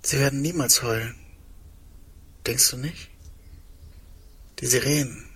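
A man playing a searching, tender man facing doom in an intimate audio drama, speaking haltingly and sometimes hushed. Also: medium pitch, slightly rough. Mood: probing.

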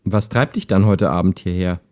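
A man playing a calm, helpful, lonely chat partner in an audio drama, with a measured, earnest delivery. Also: low voice, lightly acted. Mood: concerned, wistful. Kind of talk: amateur audio drama dialogue, read chat.

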